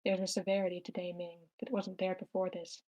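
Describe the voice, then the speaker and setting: A woman's voice: on the low side, little pitch movement, brisk, mellow. A woman narrator reading screenplay stage directions aloud.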